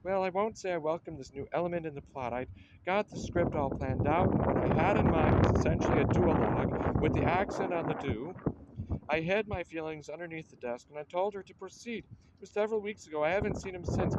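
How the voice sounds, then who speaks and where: A man's voice: fairly high pitch, dull audio, noisy background, lightly acted. An actor playing a wry, pedantic man, delivering dry, clipped lines and hardboiled pastiche narration. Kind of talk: radio-drama acting with hardboiled pastiche narration.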